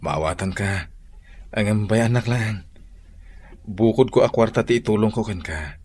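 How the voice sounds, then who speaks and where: A man's voice: lowish voice, moderate pace, a little mellow, husky. A man acting a devoted young son and boyfriend in an Ilocano radio drama, tender and reassuring, with an Ilocano accent.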